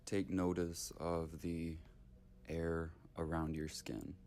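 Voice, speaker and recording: A man leading a guided meditation in short, unhurried, soothing phrases with long gaps between them. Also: deep voice, little pitch movement, a little mellow, slight background noise.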